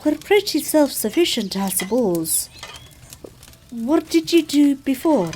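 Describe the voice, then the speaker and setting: A woman playing a gentle, modest, hopeful character in an audio drama, speaking thoughtfully and with some hesitation. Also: high-pitched, sing-song, takes it slowly, a little hiss or hum, exaggerated voice. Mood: reflective. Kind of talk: scripted audio drama dialogue.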